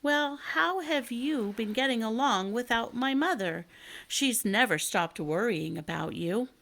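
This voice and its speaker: Storybook boy adventurer, played by a woman: earnest, curious, kindhearted, pitched up. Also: expressive, a little slow, vocal fry, a bit bright, exaggerated voice. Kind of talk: children's storybook read aloud.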